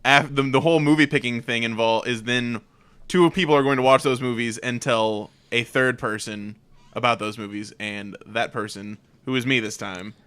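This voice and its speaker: A man's voice: mid-range voice, fairly even intonation, normal speed, a bit bright, clean tone, no echo. A man co-hosting a podcast in casual banter, wry and playfully sarcastic. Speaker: podcast co-host.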